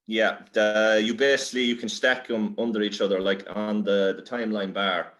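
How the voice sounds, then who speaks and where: A man with an Irish accent presents an informal workshop in a lowish voice. His delivery is halting and self-deprecating, as he works his way through a casual explanation. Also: flat delivery, a bit bright.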